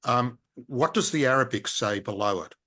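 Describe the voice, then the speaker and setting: A man hosting an interview, asking measured questions and checking points back with his guest. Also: mid-range voice, lively intonation, pushed and bright. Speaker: radio host, interviewer.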